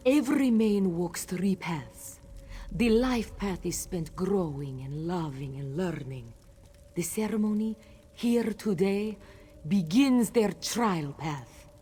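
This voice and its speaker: A woman voicing a solemn cat-folk priestess leading sacred rites in a fantasy video game, with measured ceremonial delivery. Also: fairly low pitch, animated, dark tone, slight background noise. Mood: solemn explaining.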